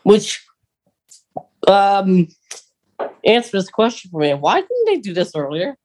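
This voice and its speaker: Podcast co-host, medium pitch, casual chat, conversational, questioning. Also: huge pitch swings, takes it slowly, a little mellow, slight background noise. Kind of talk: casual chat about fandom news.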